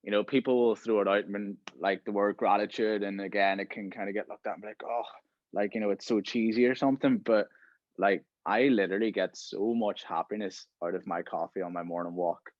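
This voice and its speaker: A man's voice: lowish voice, steady tone, brisk, no echo, muffled recording. A man in his thirties hosting a podcast in casual conversation, filling his talk with "right?" and "you know". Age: 30s. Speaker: podcast host.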